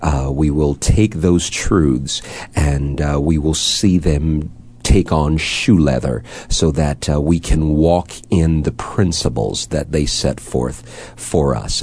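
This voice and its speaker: A man teaching on a discussion panel, laying out his approach in formal, measured wording with pauses. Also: extremely low pitch, a little mellow, slightly rough. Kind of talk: formal explanatory talk.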